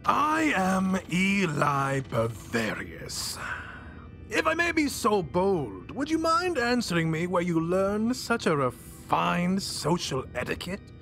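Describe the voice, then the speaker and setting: An actor playing a flamboyant, ancient mastermind villain in a video game, pompous, grandiose and mock-polite with theatrical delivery. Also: male voice, sits high, sing-song, takes it slowly, creaky, a bit bright, faint music behind, heavily acted. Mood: smug delight.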